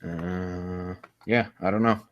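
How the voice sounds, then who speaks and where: Low-voiced man rambling on a livestream. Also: fairly even intonation, no echo, muffled recording. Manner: rambling, halting, muttering to himself; casually crude, swearing. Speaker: livestream host. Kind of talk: casual livestream chat.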